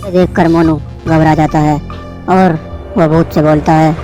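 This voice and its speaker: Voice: medium pitch, level tone, a little slow, mellow, faint music behind. A narrator reading a scripted horror story.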